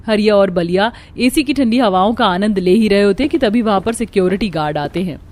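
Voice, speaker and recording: A woman's voice: mid-range voice, a little fast, a little mellow, faint music behind. A woman giving scripted narration for a Hindi cartoon story.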